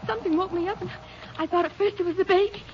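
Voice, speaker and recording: High-pitched, frantic young farm wife. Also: female voice, fast. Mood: frightened. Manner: urgent, breathless, pleading. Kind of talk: 1950s radio drama acting. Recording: muffled, faintly noisy vintage radio recording.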